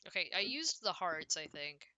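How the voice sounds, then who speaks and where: A young woman chatting casually and loudly on a game livestream, rambling and often cutting herself off as she plays. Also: on the low side, very animated, normal speed. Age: young adult. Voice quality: loud voice. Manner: rambling, self-interrupting, frequent "like". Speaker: video game streamer. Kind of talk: casual livestream chat while playing.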